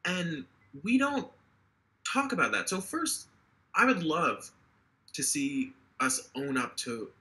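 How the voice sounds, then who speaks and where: Young earnest man, bright tense voice. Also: a little high, very animated, takes it slowly. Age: 20s. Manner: earnest, passionate, talks in restarts. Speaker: political candidate. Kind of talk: interview over video call. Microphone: video call.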